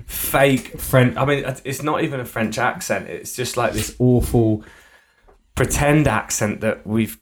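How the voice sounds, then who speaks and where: Two to four sentences: A young man from the UK talks in a relaxed podcast chat with a middle-of-the-road pitch. He is animated and breaks into laughter as he goes. His voice sits in an ordinary register and he keeps it conversational. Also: unhurried, a little hiss or hum. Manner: chatty, animated, bursts of laughter. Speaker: podcast co-host. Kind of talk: casual chat.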